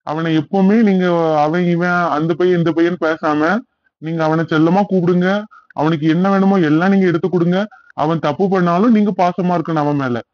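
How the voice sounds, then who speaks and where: High-pitched, earnest cartoon pastor played by a man. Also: fairly even intonation, a little fast, dry recording, dull audio, close to an everyday voice. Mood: gentle, persuasive. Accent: southern Tamil Nadu. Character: kindly, earnest male village church pastor.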